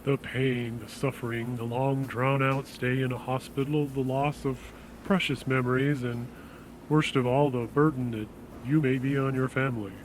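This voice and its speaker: A man playing a polite, persuasive insurance salesman in an audio drama, speaking in a measured, reassuring way. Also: medium pitch, steady tone, slight background noise, close to an everyday voice, rough recording. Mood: ominously reassuring. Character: smooth-talking, reassuring male death insurance salesman.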